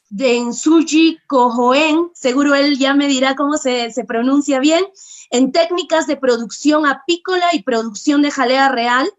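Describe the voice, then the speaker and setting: A woman's voice: high-pitched, dull audio. A woman hosting an online talk, introducing the guest politely and formally. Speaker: host.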